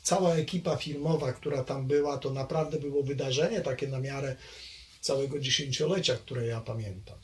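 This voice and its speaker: A man's voice: medium pitch, brisk, slight background noise. A man, recalling his childhood in an oral history interview, hesitating often with fillers and restarts. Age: 60s.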